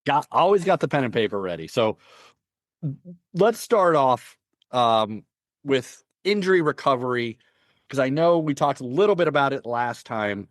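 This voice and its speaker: A man's voice: medium pitch, big pitch swings, normal speed, dry recording. A man with a mid-range voice hosts a casual podcast, asking his guest curious questions. He agrees easily and keeps the talk moving. The mic sound is dry and close. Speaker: podcast host.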